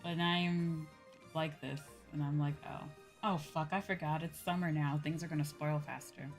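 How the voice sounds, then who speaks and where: A woman's voice: deep voice, steady tone, moderate pace. A woman livestreamer chatting casually and informally with viewers while she plays a game, rambling in slangy speech. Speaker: livestreamer, VTuber host. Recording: music playing behind the voice. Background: game music.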